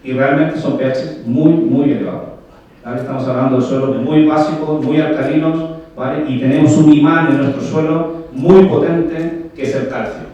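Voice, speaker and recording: Male speaker, Chilean Spanish accent, conference lecture, keeps checking in with the audience; fairly high voice, recorded far off in a very echoey hall. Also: steady tone, moderate pace, warm tone, highs cut off. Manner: explanatory, frequent "¿vale?" checks, rhetorical questions to the audience. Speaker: agronomy speaker. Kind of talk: technical conference talk.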